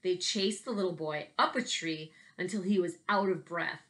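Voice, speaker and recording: Female, 40s, US, slightly low register. Chatty, expressive elementary teacher for casual kids' read-aloud videos. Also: moderate pace. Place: small bedroom at home, indoors. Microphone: laptop webcam at desk distance.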